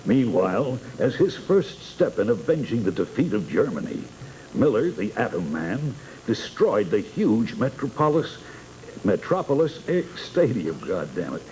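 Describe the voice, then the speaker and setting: A man of middle pitch reads serial narration aloud with very lively intonation. The old recording is clearly noisy. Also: unhurried.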